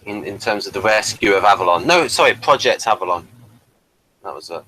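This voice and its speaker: A man's voice: on the low side, lively intonation, fairly quick, bright, forceful tone, rough recording. Man chatting through an episode commentary. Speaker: host of a TV episode review commentary. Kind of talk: casual chat, episode commentary.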